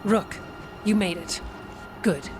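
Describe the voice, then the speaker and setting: An actress playing a frank, weary warrior officer in a video game, speaking in a plain, businesslike way. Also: female voice, medium pitch, takes it slowly, natural voice. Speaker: Warden officer giving battle briefing. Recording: music behind the voice.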